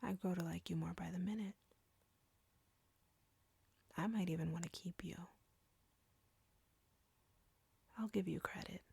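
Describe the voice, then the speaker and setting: Woman playing a breathy, whispering vampire captor. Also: lowish voice, darkish tone, no echo, exaggerated voice. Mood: amused, impressed. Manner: slow, deliberate, taunting. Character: arrogant, flirty, menacing female vampire captor. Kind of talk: intimate whispered audio roleplay.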